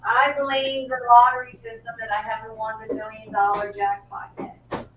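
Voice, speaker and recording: A woman's voice: on the high side, flat delivery, normal speed, fairly bright tone. A woman co-hosting a live craft stream, chatting in a playful, dramatic way. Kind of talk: casual chat. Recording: dull lo-fi sound, faint noise.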